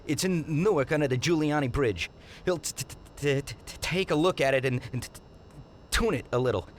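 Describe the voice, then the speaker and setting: A nervous mob mechanic, as a man acts him out, tripping over nearly every word in a heavy stutter. His voice sits a little high for a man. Faint noise hangs under this game cutscene line. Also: brisk, natural voice. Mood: stammering. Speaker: mobster. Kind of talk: video game cutscene acting.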